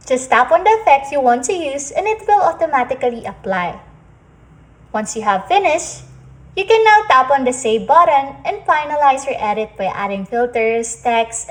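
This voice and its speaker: A woman reading a scripted how-to narration as a tutorial host, explaining in a step-by-step, instructional way. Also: high-pitched.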